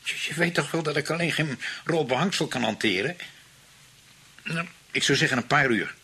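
An actor playing a greedy, falsely pious scheming husband in a radio play, with theatrical delivery. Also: male voice, fairly high pitch, lively intonation, normal speed, pushed and bright, husky, exaggerated voice.

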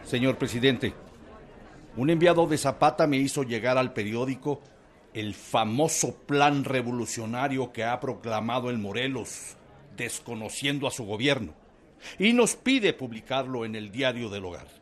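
An actor playing an earnest, deferential Mexican newspaper editor in a radio drama, formal and respectful. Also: male voice, mid-range voice, expressive, moderate pace, close to an everyday voice.

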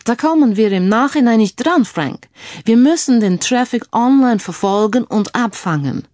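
A man's voice: very high voice, lively intonation, normal speed, a little mellow, no echo, studio quality, voice pushed up. A woman voicing a confident, analytical male computer sleuth who drops English phrases into his explanations in an audiobook. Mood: matter-of-fact. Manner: peppers speech with English phrases.

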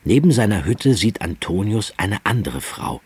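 Narrator for radio drama: man, deep and strongly husky, scripted storytelling. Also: very animated, normal speed, darkish tone, no echo.

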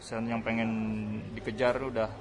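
A man's voice: mid-range voice, flat delivery, normal speed, a crowd in the background. Casual, slangy male radio host. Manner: informal, slangy, chatty. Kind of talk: casual radio music interview.

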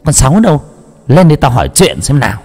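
A man voicing a haughty rich older landlady with a shrill, bossy way of talking. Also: wildly swooping pitch, fast, dark tone, in a large hall. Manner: bossy, scornful.

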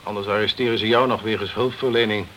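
An actor playing a sceptical, self-protective British consul in a crime radio play, with a condescending, chummy, blustering way of talking. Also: male voice, on the low side, fairly even intonation, quick pace, pushed and bright, a little hiss or hum. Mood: indignant.

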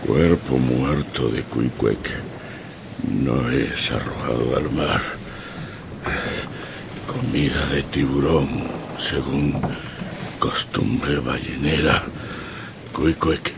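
A gravelly harpooner in broken speech. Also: male voice, very deep voice, extremely slow, long pauses, heavily acted. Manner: broken grammar, short clipped phrases. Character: dying harpooner speaking broken, clipped phrases. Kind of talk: radio play acting. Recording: radio drama with noisy background.

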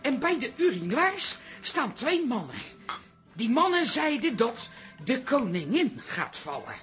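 An older toilet attendant in a radio play. She is excitable and gossipy, trips over her words, and her pitch swings widely as she chatters. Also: measured pace, faint music behind, exaggerated voice. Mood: alarmed, gossipy. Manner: flustered, gossipy, stammering.

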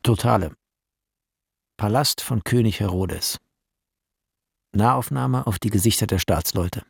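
A man reading screenplay shot directions as solemn, measured scripted narration for a radio play. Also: on the low side, gravelly, husky, no echo, studio quality, heavily acted. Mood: matter-of-fact.

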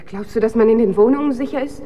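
A woman's voice: fairly high pitch, fast, mellow, natural voice. An actress in a German radio drama playing an uneasy woman who asks terse, anxious questions. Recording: echoey hall, faint noise.

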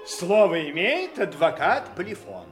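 An actor playing a fussy, officious presiding judge who gives curt procedural commands in a satirical radio play. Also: male voice, sits high, sing-song, a little slow, soft background music. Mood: impatient.

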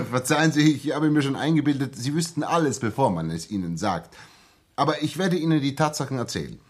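A ex-soldier turned stockbroker in a 1960s radio play. His voice sits at a middling pitch with a husky grain. He is frank, respectful and earnest. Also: lively intonation, fairly quick. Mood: earnest, eager.

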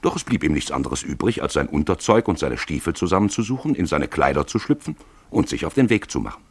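Male narrator, raspy, very animated intonation, close dry sound, deliberate, radio drama storytelling. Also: extremely low pitch, dry recording. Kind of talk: dramatic scripted literary narration for radio play.

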